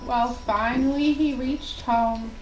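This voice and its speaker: A woman narrating a children's puppet show story with gentle, deliberate scripted phrasing. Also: a little high, steady tone. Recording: echoey, distant mic, faint hiss.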